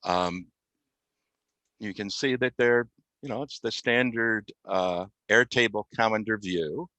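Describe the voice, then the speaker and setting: A man giving an unscripted software demonstration as a guest, explaining step by step with halting, mid-phrase pauses. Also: fairly low pitch, no room sound. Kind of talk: unscripted software demo walkthrough.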